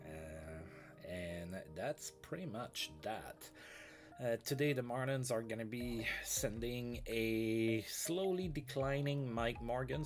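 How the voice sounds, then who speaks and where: Stuffy-nosed man calling a baseball sim. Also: fairly low pitch. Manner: chatty, fillers, play-by-play calls. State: stuffy from allergies. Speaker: let's play host. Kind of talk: casual baseball sim commentary. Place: home streaming setup.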